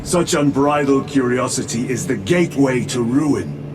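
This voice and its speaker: An actor playing a stoic, resolute veteran space marine lieutenant with a clipped, commanding way of speaking in a video game. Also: male voice, medium pitch, lively intonation, moderate pace, music in the background, lightly acted. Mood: guarded, grave.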